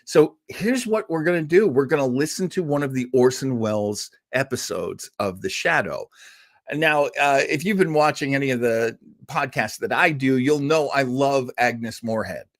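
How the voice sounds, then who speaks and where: A man in the host's seat, chatting about old radio with an animated, lively lilt. He rambles with fillers and asides, but he stays warm and engaged. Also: mid-range voice, normal speed. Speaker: podcast host. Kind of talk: enthusiastic casual history talk.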